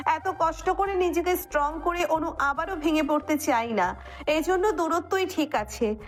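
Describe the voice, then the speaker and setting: A woman's voice: high-pitched, normal speed. A woman narrator reading a scripted romantic story. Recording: music bed under the voice.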